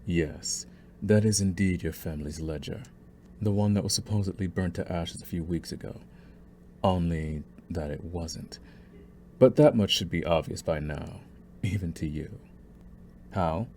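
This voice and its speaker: A man's voice: low voice, measured pace, mellow, a little hiss or hum. A man playing a young, possessive mafia boss in a scripted ASMR audio roleplay, taunting and condescending in a theatrical, menacing way. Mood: smug menace. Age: young adult.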